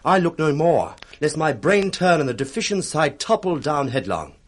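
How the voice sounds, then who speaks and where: A man's voice: a little high, sing-song, normal speed. Urgent young nobleman, swooping delivery. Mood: urgent, vivid. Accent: British. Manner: vivid, theatrical verse speaking. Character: disguised young nobleman, loyal, urgent, guiding a blind man. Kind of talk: 1960s BBC radio Shakespeare acting.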